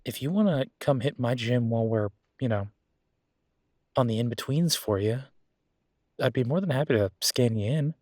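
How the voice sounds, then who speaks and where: An easygoing werewolf boyfriend from an audio roleplay, acted by a man. He has a mid-pitched male voice and chats casually, with a playful, teasing and slightly vain manner. The sound is dry, with no room on it. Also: animated, normal speed, long pauses, darkish tone, dry recording, lightly acted. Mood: easygoing, inviting.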